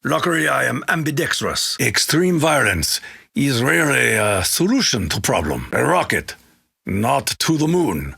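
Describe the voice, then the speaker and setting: An actor playing a solemn, proud Japanese warrior in a video game, with a Japanese accent, a gravelly rasp and formal, stilted speech. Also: male voice, mid-range voice, very animated, measured pace, sharp tone, no room sound, studio quality, full character voice. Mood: measured, musing. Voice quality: gravelly, raspy. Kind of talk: video game character voice acting.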